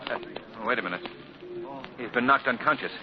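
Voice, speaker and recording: An actor playing a suave, wealthy young man about town in a 1940s radio drama, relaxed, teasing and assured, on a muffled old broadcast recording. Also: mid-range voice, a little fast, close to an everyday voice. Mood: calm.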